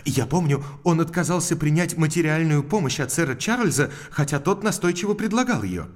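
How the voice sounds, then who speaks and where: An actor playing a mild, precise country doctor in an audio drama, explaining formally with small hesitations. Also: male voice, fairly high pitch, expressive, fairly quick, natural voice. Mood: earnest, explanatory.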